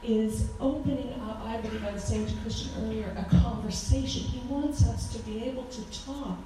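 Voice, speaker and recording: A woman in her forties with a loud voice, giving a fervent talk as a guest speaker at a church, with frequent ums. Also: fairly high pitch, steady tone, moderate pace, slight background noise. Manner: earnest, fervent, with many ums. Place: church hall. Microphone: handheld microphone. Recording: echoey hall from far off.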